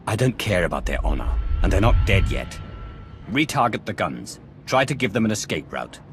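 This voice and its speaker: An actor playing a resolute dark lord in a video game, speaking formally and deliberately, with authority. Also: male voice, sits low, sing-song, faint music behind. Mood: stern. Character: resolute, commanding male dark-side sorcerer lord. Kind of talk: cinematic video game acting.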